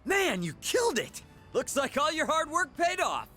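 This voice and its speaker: A man's voice: extremely high pitch, huge pitch swings, moderate pace, sharp tone, faint music behind, exaggerated voice. An actor playing a brash, friendly, sporty teenage boy in a video game, slangy and enthusiastic. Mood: excited.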